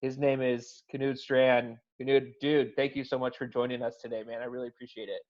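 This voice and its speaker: A man hosts a casual virtual happy hour over a video call, laid-back and friendly. His delivery stays flat and even, with hesitant fillers. The call audio is dull and lo-fi. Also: medium pitch, normal speed. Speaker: host.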